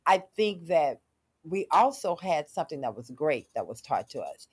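A woman's voice: sits low, big pitch swings, moderate pace, husky. A middle-aged woman hosting a casual panel talk in a radio studio, speaking emphatically with preacherly asides. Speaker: talk show host.

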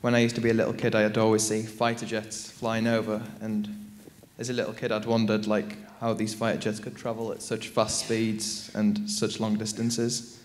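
A man's voice: on the low side, completely flat delivery, normal speed, few pauses, a little hiss or hum. A teenage male student from Iraq, telling a story on a conference panel into a handheld mic. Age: teens. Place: large conference stage.

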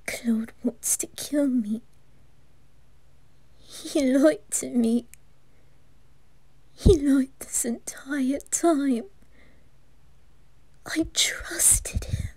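A woman plays a lovestruck teenage boy in an intimate audio roleplay. The voice is high and breathy, with slow, hesitant phrasing. He sounds trusting and vulnerable, recorded close and dry. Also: no room sound. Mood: hurt, betrayed. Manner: breathy, hushed, hesitant delivery. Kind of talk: whispered ASMR roleplay acting.

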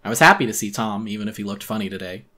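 A man's voice: fairly low pitch, fairly even intonation, racing, pushed and bright. A man narrating a first-person horror story as a theatrical dramatic reading.

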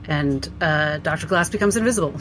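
A woman's voice: low-pitched, fairly quick, a bit bright, low-quality recording. A woman casually describing her character's actions as a tabletop game player. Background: music.

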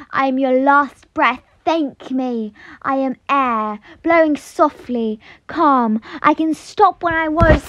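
A free, proud spirit of air played by a woman speaks in a fairly high voice at a very slow pace. The delivery is poetic and deliberate, built from short declarations, gentle yet sure of its own power. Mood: calm, caring, then assured, proud. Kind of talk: poetic first-person dramatic monologue.

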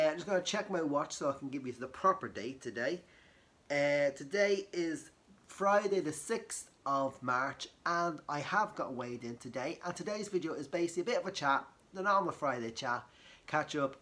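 Croaky man chatting. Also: a little high, lively intonation, moderate pace, fairly bright tone. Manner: rambling, many fillers and restarts. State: croaky from a cold. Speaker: vlogger. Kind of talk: casual chat to camera. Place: living room, on a sofa.

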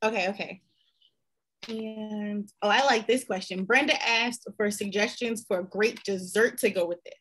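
A woman in her thirties hosting a live video call, reading audience questions in a measured, friendly way. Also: medium pitch, steady tone, fairly bright tone. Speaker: live event host. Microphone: video call, webcam.

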